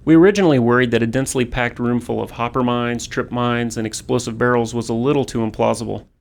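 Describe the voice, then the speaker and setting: A man's voice: medium pitch, steady tone, fast, vocal fry. A man reading scripted commentary as a game developer.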